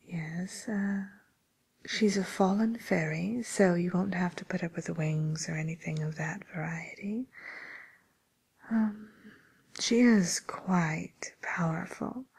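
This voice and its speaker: A woman's voice: lowish voice, a little mellow, full character voice. Woman as a whispering, genteel villain matchmaker. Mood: musing, helpful. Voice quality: breathy whisper. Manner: hesitant, polite, murmuring to one client. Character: genteel, businesslike female matchmaker for wicked villains. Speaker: ASMR role-play performer. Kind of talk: whispered ASMR role play.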